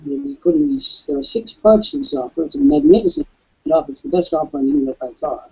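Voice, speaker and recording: A raspy-voiced man hosting a live webcast and pitching an offer, emphatic like a salesman. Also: sing-song, fast, a little mellow. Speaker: webcast host. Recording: dull lo-fi webcast audio.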